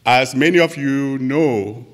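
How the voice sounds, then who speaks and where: A man from Cameroon, giving a halting, earnest personal reflection at a church gathering. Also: medium pitch. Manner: halting, earnest, with frequent pauses. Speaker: church trip participant sharing reflections. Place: church sanctuary. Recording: long reverb of a large hall.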